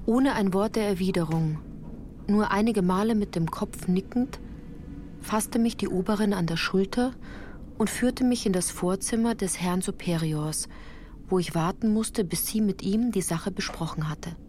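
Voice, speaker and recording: A woman's voice: medium pitch, moderate pace, dark tone, a little hiss or hum. A woman reading scripted first-person literary narration for a radio play in a composed, articulate storytelling manner.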